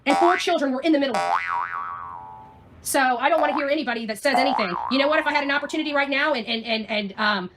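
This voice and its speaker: A woman's voice: high voice, fast, background noise. A woman ranting on a livestream in a combative, sarcastic, foul-mouthed way. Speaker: livestream host.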